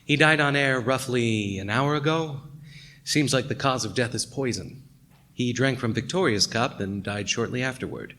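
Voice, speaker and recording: An actor playing a wry, hard-boiled male detective in a noir radio play, with a dry, deadpan delivery. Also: mid-range voice, moderate pace. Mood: businesslike. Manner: dry, world-weary, deadpan. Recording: music behind the voice.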